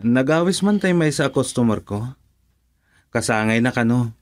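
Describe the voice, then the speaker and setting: A man's voice: mid-range voice, lively intonation, moderate pace, natural voice. An actor with an Ilocano accent playing a patient, easygoing young father and husband in a radio drama. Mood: calm, reassuring.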